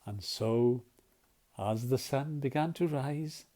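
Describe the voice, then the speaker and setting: A storyteller reading a children's picture book expressively, asking the listener questions and often dropping to hushed tones. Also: medium pitch, takes it slowly. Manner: animated, dramatic, often drops to hushed tones. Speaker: volunteer storyteller.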